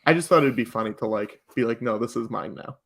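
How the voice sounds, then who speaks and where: A man talking casually as a podcast guest, in a joking, animated way with chuckles slipping into his speech. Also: medium pitch, fast talker, creaky, breathy edge. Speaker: podcast guest and live improv comedy performer.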